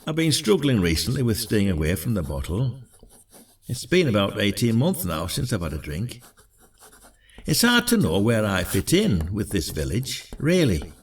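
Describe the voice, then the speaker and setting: A dry old retired detective, lively in intonation, heard a little off-mic in a village radio drama acted by a man. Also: on the low side, normal speed, slightly rough, distant mic. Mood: reflective. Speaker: actor. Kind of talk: scripted audio drama dialogue.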